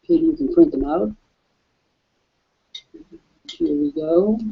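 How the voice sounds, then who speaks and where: Raspy man hosting a live sales webcast. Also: takes it slowly. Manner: emphatic salesman, big pitch swings. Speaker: webcast host. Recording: dull lo-fi webcast audio.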